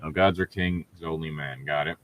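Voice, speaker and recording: A man's voice: extremely low pitch, fairly even intonation, normal speed, a bit bright, no room sound. A man reading a story aloud as a co-host, breaking off for sarcastic, irritable, profane commentary and casual banter. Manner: sardonic, irritable, profane heckling.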